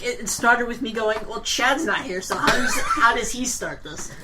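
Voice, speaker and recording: A man's voice: very high-pitched, lively intonation, moderate pace, over a noisy crowd. A man bantering casually as a podcast co-host, heard amid other voices.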